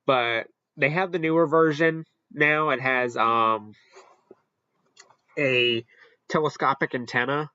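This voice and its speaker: A man hosting a casual, unscripted ranking commentary, halting and rambling as he corrects himself along the way. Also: medium pitch, bright, forceful tone, clear, resonant tone, no room sound, highs cut off. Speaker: video host ranking products.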